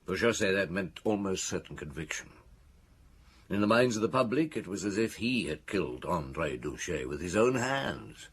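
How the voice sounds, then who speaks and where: An actor playing a seasoned, thoughtful French detective in a radio drama, speaking in a deliberate, authoritative way. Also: male voice, low voice, animated, husky, exaggerated voice. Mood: wry, grave.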